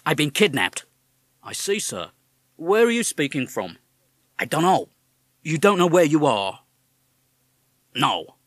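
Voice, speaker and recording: A cocky tough-guy parrot barks out slangy, clipped lines in a voice pitched well above the reader's own, as a man puts him on. The delivery is dry and close, with lively swings in pitch. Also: measured pace, long pauses, no echo, full character voice. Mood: urgent. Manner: brash American gangster slang. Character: streetwise tough-talking male parrot.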